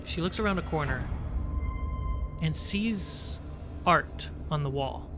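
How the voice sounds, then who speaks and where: A game master narrating a tabletop game in a deliberate, halting way, pausing while setting the scene. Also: mid-range voice, animated, music in the background. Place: online livestream video call. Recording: clearly noisy background.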